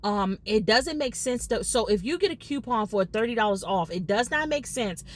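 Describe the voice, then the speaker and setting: An American woman in her late thirties chatting casually to viewers as a vlogger, telling stories in an animated way and re-enacting conversations. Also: fairly high pitch, moderate pace. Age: late 30s. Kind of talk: casual storytelling chat to viewers.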